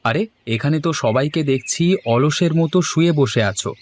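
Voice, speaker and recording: A man's voice: fairly high pitch, moderate pace, close to an everyday voice. A man playing a sociable, persuasive village man in a cartoon, explaining and coaxing good-humouredly. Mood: upbeat, persuasive. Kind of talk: cartoon dialogue acting.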